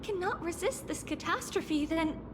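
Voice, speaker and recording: A woman's voice: very high voice, animated, normal speed. A woman voicing an earnest, anguished young girl prophet in a video game, speaking formally and pleadingly. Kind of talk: video game character acting. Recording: long hall reverb, clear background noise.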